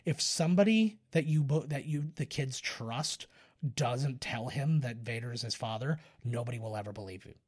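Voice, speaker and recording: A man's voice: medium pitch, normal speed, breathy edge. A man co-hosting a casual film podcast, explaining at length in a rambling way and often interrupting himself. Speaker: podcast co-host. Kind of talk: casual film discussion banter.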